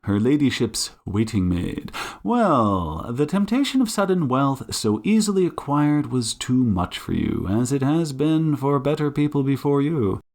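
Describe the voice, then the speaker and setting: A suave, commanding detective, acted by a man, speaks slowly with wide, theatrical swings in pitch. He is shrewd and politely condescending, with a mocking edge, as in a dramatized audiobook. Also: sing-song, darkish tone. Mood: stern, accusing. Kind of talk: audiobook character acting.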